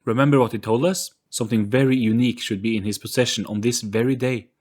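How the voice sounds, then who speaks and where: A man's voice: medium pitch, steady tone, fast, a little mellow, natural voice. A man voicing an eager, adventurous young boy in an audio story, speaking with urgent earnestness.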